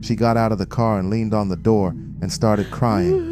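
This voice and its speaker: An actor playing a grave, watchful crew member, narrating an audio drama in a serious, deliberate way. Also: male voice, lowish voice, steady tone, over music, natural voice. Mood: uneasy, tense.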